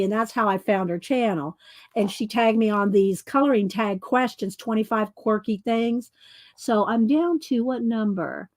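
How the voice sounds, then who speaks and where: Chatty woman with a loud voice. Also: fairly high pitch, dry recording. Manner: chatty, rambling, self-interrupting, laughs often. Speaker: crafting YouTube host. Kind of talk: casual chat while making art. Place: home art studio.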